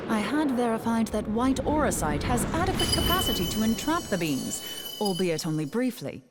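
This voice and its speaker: A woman's voice: medium pitch, natural voice. A woman playing an earnest scholarly researcher of magic in a fantasy game, explaining things like a lecturer. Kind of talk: scripted fantasy game cutscene acting.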